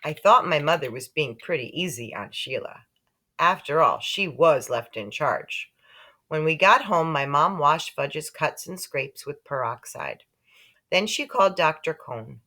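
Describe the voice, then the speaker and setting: A woman narrating a children's book aloud in an expressive storytelling style. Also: low voice. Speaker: storybook reader.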